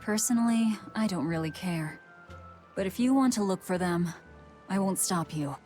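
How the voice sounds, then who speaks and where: A woman's voice: mid-range voice, animated, moderate pace, darkish tone, soft background music, lightly acted. An actress playing an aloof, blunt young woman in a video game, with a dry, indifferent delivery. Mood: cool.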